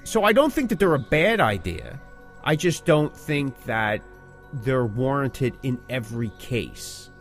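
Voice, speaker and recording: A man's voice: mid-range voice, sing-song, unhurried, soft background music. A man co-hosting a podcast, explaining things in a casual, emphatic, conversational way. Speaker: podcast co-host and working band musician.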